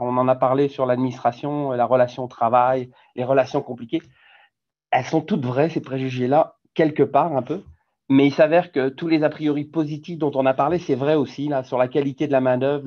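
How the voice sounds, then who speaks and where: A middle-aged man from France with a French accent, speaking informally on a business webinar panel with many hesitations and restarts. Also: medium pitch. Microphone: video call. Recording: dull lo-fi webinar audio.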